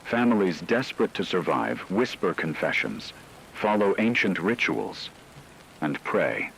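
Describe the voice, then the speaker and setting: Deep-voiced, solemn actor as radio newscaster. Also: male voice, sing-song, a little slow, gravelly, fairly bright tone, slight background noise, heavily acted, poor audio. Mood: grave, ominous. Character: grave male radio newscaster reporting supernatural terror. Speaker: radio news host. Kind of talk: scripted horror radio news narration.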